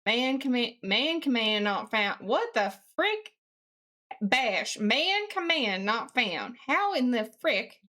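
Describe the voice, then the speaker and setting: A woman with a distinctly high voice chats casually on a live stream. She puts on a Southern US accent and talks in a folksy, mildly sweary manner as she works at her computer. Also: big pitch swings, slow pace, bright, forceful tone. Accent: put-on Southern US.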